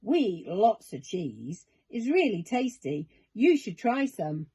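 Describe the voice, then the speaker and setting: A woman acts out a big cheerful moon monster from a children's storybook. The creature is curious and hearty, speaking slowly and with emphasis. Its pitch moves in lively swoops. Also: slightly rough, exaggerated voice. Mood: friendly.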